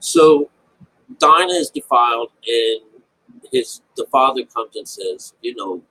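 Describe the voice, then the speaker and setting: Man, husky voice, mid pitch, informal Bible teacher, unscripted, rambling, repeats himself for emphasis. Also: slow pace, bright, forceful tone, low-quality recording. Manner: rambling explanation with frequent check-ins like "all right?" and "okay?".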